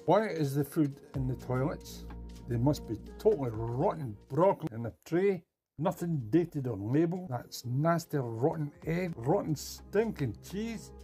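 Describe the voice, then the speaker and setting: A man's voice: on the high side, expressive, a little slow, dark tone, faint music behind. A man playing an outraged, blunt male kitchen inspector in a story, scolding with emphasis. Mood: disgusted.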